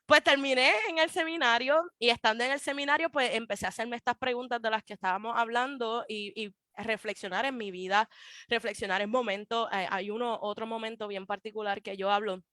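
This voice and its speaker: A woman's voice: on the high side, fairly even intonation, fairly quick, bright, forceful tone, no room sound. A young woman from Puerto Rico with a Puerto Rican Spanish accent, a guest speaking reflectively with frequent hesitations and English asides. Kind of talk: casual conversation.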